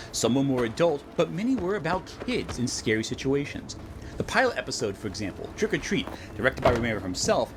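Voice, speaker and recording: A man narrating a scripted video essay with expressive, emphatic storytelling. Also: medium pitch, background noise. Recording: loud background music under narration. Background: loud music bed.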